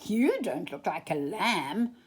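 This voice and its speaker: A woman's voice: on the low side, big pitch swings, takes it slowly, breathy edge, exaggerated voice. A woman voicing a polite, fussy male stork in a children's storybook, with a proper, emphatic way of announcing things. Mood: puzzled.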